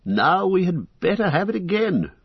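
A man's voice: sits high, big pitch swings, moderate pace, husky, no echo, highs cut off, voice pushed up, exaggerated voice. A man voicing a lighthearted male elf minstrel who teases in a laughing way. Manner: teasing, laughing banter.

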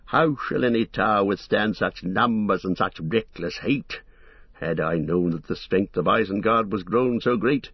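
A man's voice: mid-range voice, husky, no room sound. A man voicing a grave, brooding old king. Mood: doubtful. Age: older. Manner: solemn, brooding, stately. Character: aging troubled male king, proud, grave. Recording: dull lo-fi recording.

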